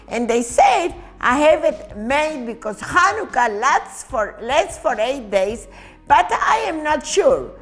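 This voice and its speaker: Expressive high-voiced woman reading a children's story. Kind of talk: read-aloud children's story narration.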